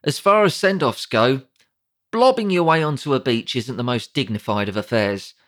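A man's voice: mid-range voice, animated, moderate pace, fairly bright tone. A British man narrating a comedic audiobook in a wry, sardonic, conversational way.

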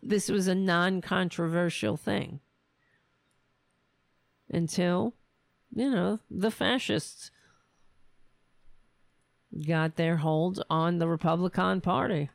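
A woman with a gravelly, fraying voice works through an angry, profane rant as host of her own commentary show, taking her time over each point. She is plainly losing her voice, and the sound is close and dry. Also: lowish voice, takes it slowly, long pauses, dry recording. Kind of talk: solo political commentary show.

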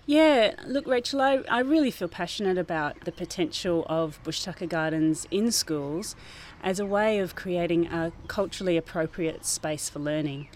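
Kiwi woman, quite low voice, garden teacher being interviewed outside, thoughtful and earnest. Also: normal speed, hardly any pauses, a little hiss or hum. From New Zealand. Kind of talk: informal interview, reflective explaining. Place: outdoors, under a gazebo in a school garden.

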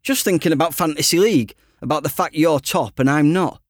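A man's voice: a little high, brisk, dry recording, clean studio sound, natural voice. A man voicing a lovestruck, evasive fourteen-year-old boy in an audiobook, offhand in manner. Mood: defensive. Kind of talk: audiobook character dialogue.

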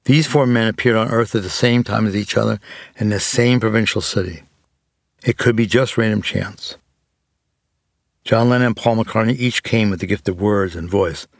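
A man's voice: fairly low pitch, fairly even intonation, normal speed, creaky, dry recording. Dry, close-recorded man narrating. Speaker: narrator introducing interviews. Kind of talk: scripted narration.

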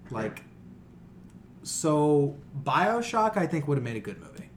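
A man co-hosting a podcast, talking casually and opinionatedly, with animated exasperation and casual swearing. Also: fairly high pitch, slow, a little hiss or hum. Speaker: podcast co-host.

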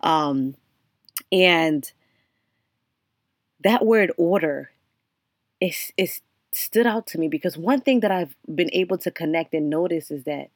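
A young adult woman hosting her own podcast, talking alone in an earnest, reflective way with frequent ums and restarts, her voice slightly hoarse. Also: deep voice, animated, takes it slowly, pauses often, no room sound, studio quality. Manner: many ums and restarts, faith-centered, earnest. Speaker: podcast host. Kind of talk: reflective solo podcast monologue.

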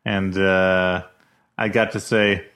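A man guesting on a podcast, self-deprecating and hesitant, often clearing his throat and pausing. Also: sits low, flat delivery, fairly bright tone. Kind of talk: casual chat.

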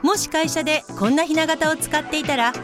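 A woman's voice: sits high, fairly quick, over music, close to an everyday voice. A woman playing a formal, instructive narrator, delivering scripted explanations in a radio drama. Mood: formal, explanatory. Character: crisp female case-file narrator explaining office software.

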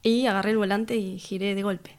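A woman answers interview questions about her music. She speaks thoughtfully and hesitantly, often pausing on fillers and restarting her sentences, and laughs easily between thoughts. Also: medium pitch, steady tone, heavy background noise. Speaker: musician and record producer. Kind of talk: casual interview conversation.

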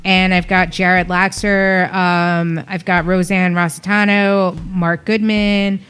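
A woman's voice: lowish voice, level tone, slow pace, fairly bright tone, smooth. A woman comedian who produces shows, talking casually and frankly on a podcast. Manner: frank, chatty, with fillers.

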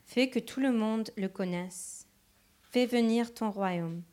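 Slow woman interpreting a sermon. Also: fairly high pitch, a little mellow. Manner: translating phrase by phrase with hesitations.

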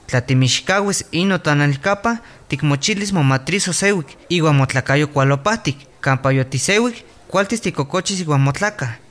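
A man's voice: fairly high pitch, expressive, unhurried, hardly any pauses, a bit bright. An actor playing a patient male doctor advising village mothers in a scripted radio drama. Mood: patient, instructive.

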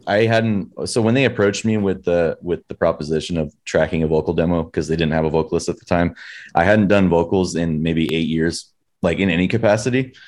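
A man's voice: low voice, fairly quick, darkish tone. A man with a Californian accent telling stories casually in an informal, rambling, slangy way. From San Diego, United States. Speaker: metal band vocalist, interview guest. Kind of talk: casual chat, storytelling.

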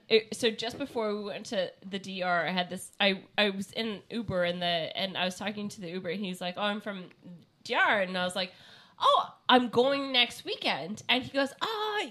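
A woman comedian telling casual, animated stories on a podcast and acting out quotes loudly. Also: mid-range voice. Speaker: comedian co-host.

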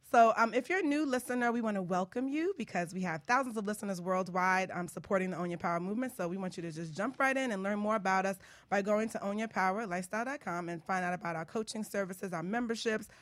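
A woman's voice: medium pitch, fast, hardly any pauses. A woman hosting a talk show, chatting casually and telling stories in a conversational way. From Bronx, New York. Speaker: radio show host. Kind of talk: casual chat and storytelling.

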